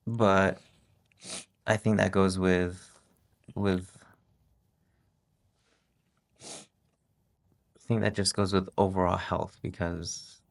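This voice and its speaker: A middle-aged man talking casually as a guest on his wife's podcast, relaxed and halting, with many restarts. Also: deep voice, creaky. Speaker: podcast guest, host's husband. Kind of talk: casual interview talk.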